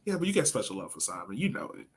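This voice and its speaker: A man's voice: on the low side, big pitch swings, very fast. An American man co-hosting a sports podcast, chatting casually and animatedly in slangy phrasing as he breaks down soccer.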